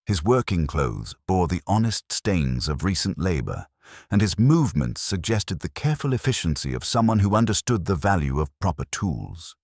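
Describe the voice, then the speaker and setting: A man reading a detective story as scripted audiobook narration, in a measured, formal, literary manner. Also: low-pitched, big pitch swings, a little mellow, no echo, clean studio sound.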